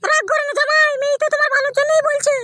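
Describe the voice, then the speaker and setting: A woman's voice: very high voice, level tone, very fast, pushed and bright, no room sound, studio quality, pitched above the performer's own voice. A woman voicing a scolding, easily scandalised older mother-in-law in a comic cartoon. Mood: pleading.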